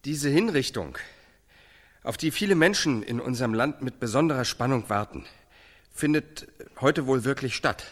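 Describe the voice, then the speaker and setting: A tv news reporter on live air, formal announcer talk, middle pitch, radio play. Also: male voice, expressive, moderate pace, fairly bright tone. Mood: formal, solemn. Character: American TV reporter presenting a live execution broadcast.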